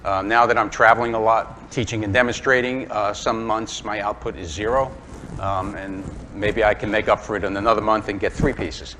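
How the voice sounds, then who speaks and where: A man's voice: fairly low pitch, fairly even intonation, normal speed, sharp tone, slight background noise. A man in his sixties from Brooklyn with a New York accent, giving a chatty, self-deprecating woodturning demonstration talk to a club audience, full of ums and uhs. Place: workshop or club room with an audience.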